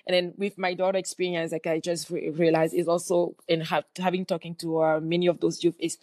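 Woman, middle-aged guest, slightly low pitch, stop-start phrasing, dry close sound. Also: flat delivery, darkish tone, dry recording. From Cameroon. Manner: halting, many fillers and restarts. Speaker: guest entrepreneur. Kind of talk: conversational interview answer.

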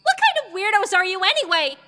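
A woman's voice: very high voice, lively intonation, normal speed, big-room sound, very noisy, pitched above the performer's own voice. A woman voicing a haughty, defiant young water-creature princess in an audiobook story, screeching and scornful in manner, with music behind. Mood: angry disbelief.

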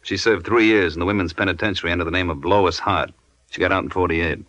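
A hard-edged male crook in an old radio crime drama. His low voice is bright and forceful, and he talks curtly, like a schemer used to giving orders. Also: fairly even intonation, fast talker, dull audio. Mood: smooth, explaining. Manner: curt, tough-talking. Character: hard male crook, menacing gang schemer named Carl.

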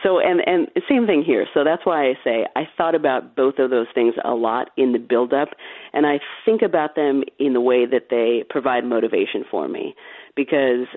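Low-voiced woman sportscaster on a phone line. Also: moderate pace, no echo. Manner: laughs easily, frank, self-assured. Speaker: play-by-play sportscaster, guest. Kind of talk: candid interview answers. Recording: phone-like line, dry sound.

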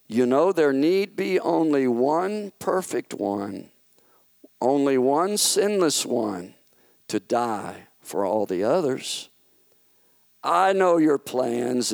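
A man voicing a majestic, loving yet stern male God who speaks in slow, solemn proclamations. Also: mid-range voice, darkish tone, no echo, studio quality, voice pushed up.

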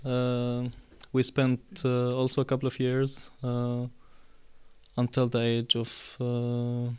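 A man in his twenties from Syria, answering interview questions in a casual, hesitant way with many pauses and fillers. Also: mid-range voice, completely flat delivery, clear, resonant tone. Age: 20s. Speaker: podcast guest.

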